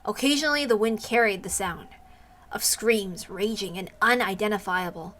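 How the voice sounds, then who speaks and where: A woman's voice: mid-range voice, moderate pace, a bit bright. A woman streamer reading a story aloud on a livestream, slipping into casual asides.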